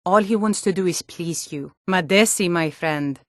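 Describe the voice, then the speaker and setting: A woman's voice: lowish voice, moderate pace, no echo, clean studio sound, close to an everyday voice. A woman voicing a hardy, forthright Nord townswoman and guard in a fantasy video game, speaking in an earnest, firm, plain-spoken way. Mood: earnest, reassuring.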